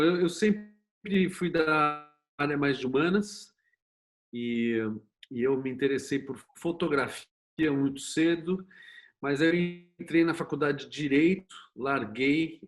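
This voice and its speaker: A man's voice: mid-range voice, measured pace. A middle-aged man with a Brazilian Portuguese accent, telling his own story candidly as an interview guest. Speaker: interview guest, screenwriter and producer.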